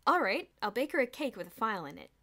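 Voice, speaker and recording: A mischievous teenage schoolboy , put on by a woman narrator, cheeky and eager, often yelling. The voice sits high and bright. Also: sing-song, fast, exaggerated voice.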